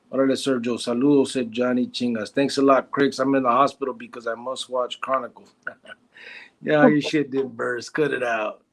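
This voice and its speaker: Gravelly man in his forties. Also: medium pitch, low-quality recording. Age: 40s. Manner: joking, teasing, reads comments aloud. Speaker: livestream host. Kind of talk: casual livestream chat reading comments.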